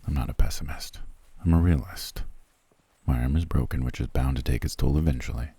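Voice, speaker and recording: A man playing a cold, haughty soldier prince in a fantasy audio roleplay, curt and disdainful, with a gruff, breathy voice. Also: brisk, dark tone, no room sound, heavily acted. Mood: commanding, dry. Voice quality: very deep, gravelly, breathy. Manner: curt, disdainful, dry, low-key grumbling. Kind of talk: ASMR roleplay acting.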